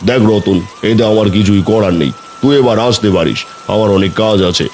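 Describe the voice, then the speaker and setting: A man's voice: on the low side, brisk, a little mellow, slight background noise, natural voice. A man voicing a firm, patronising village headman in a cartoon fairy tale. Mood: dismissive.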